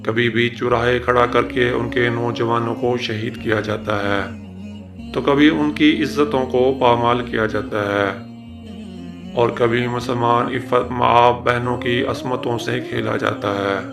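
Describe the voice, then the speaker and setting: A man giving a scripted religious history narration in a formal, measured manner with ornate literary phrasing. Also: mid-range voice, fairly even intonation, vocal fry. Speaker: narrator and presenter of a religious history video series. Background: background music.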